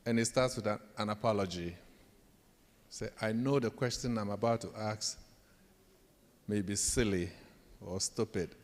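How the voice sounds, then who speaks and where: A man with a Ghanaian accent giving a storytelling leadership talk to students as a professor, acting out bits of dialogue in an anecdotal way. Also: medium pitch. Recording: echoey hall with long reverb.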